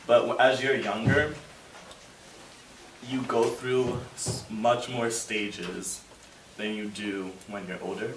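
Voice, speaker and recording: A man's voice: fairly low pitch, fairly even intonation, takes it slowly, pauses often, fairly bright tone. Young man rambling earnestly, distant. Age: 20s. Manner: earnest, rambling, many fillers like "like". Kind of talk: casual group discussion. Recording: echoey room, distant mic, faint noise.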